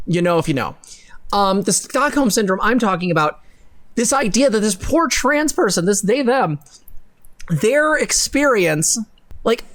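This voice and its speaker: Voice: mid-range voice, a bit bright. A young adult livestream co-host speaking bluntly and emphatically into a close desk mic, sarcastic and casually sweary. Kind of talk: dramatic reading and opinionated reaction commentary. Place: small home room, indoors. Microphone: close desk microphone on boom arm.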